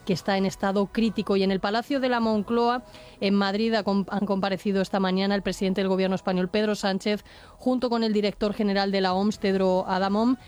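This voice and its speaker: A woman's voice: mid-range voice, fairly even intonation, brisk, darkish tone, clean tone. Castilian-accented woman reporting the news. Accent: Castilian Spanish. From Spain. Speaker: news correspondent in Madrid. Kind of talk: news report read on air.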